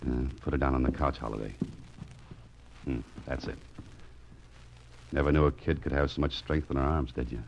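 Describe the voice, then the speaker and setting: An actor playing a wry, soft-hearted private investigator who muses to himself in 1940s radio drama style. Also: male voice, extremely low pitch, steady tone, moderate pace, creaky, pauses often, slight background noise. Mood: wry, musing. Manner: wry, self-mocking, musing aloud. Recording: muffled 1940s radio recording with music.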